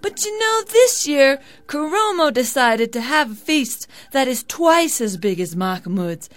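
A woman as a high, lilting, cheerful boatman. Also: big pitch swings, a little slow, a bit bright, no echo, heavily acted. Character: cheerful passing boatman spreading news of feasts.

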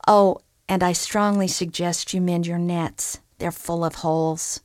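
A sharp-tongued enchanted groundhog scolds with sarcastic, no-nonsense bluntness, as a woman reading the tale puts her on. The voice sits higher and louder than the narration. The sound is dry and close. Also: steady tone, measured pace, no echo, lightly acted. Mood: practical.

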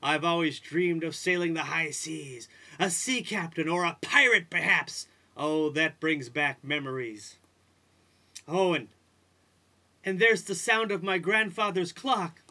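Brief: a performer as a frazzled, pleading villager, slow and drawn out, bright tone. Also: mid-range voice. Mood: dreamy. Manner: pleading and exasperated, with long drawn-out cries. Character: weary man driven mad by noise at home. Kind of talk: acted folktale storytelling.

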